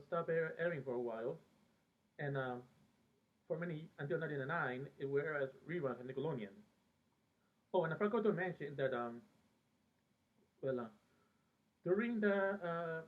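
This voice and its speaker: A man from Peru who later lived in America, talking casually and reminiscing in a very hesitant, halting way with frequent pauses mid-sentence. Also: fairly high pitch. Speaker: fan vlogger. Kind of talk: casual rambling reminiscence about a TV show. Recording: thin phone-like band-limited sound.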